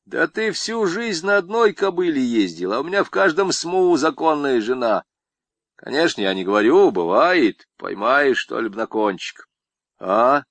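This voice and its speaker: A man voicing a swaggering young male convict who brags in slangy, drawn-out jabs. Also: medium pitch, sing-song, a bit bright, dry recording, pitched above the performer's own voice. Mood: mocking.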